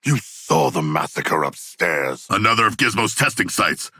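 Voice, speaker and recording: An actor playing a huge, solemn shark warrior in a video game, eager for battle, speaking in formal, stilted phrases with a raspy, gravelly voice. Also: male voice, sits low, sing-song, normal speed, bright, forceful tone, dry recording, full character voice. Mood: solemn, deadpan. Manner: formal, stilted, grandiose diction without contractions. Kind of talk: video game character voice lines.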